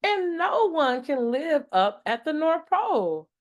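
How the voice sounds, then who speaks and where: A woman's voice: high voice, very animated, slow, pitched above the performer's own voice, exaggerated voice. A woman voicing a skeptical, taunting schoolchild in a picture book, with a blunt, challenging manner. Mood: scoffing.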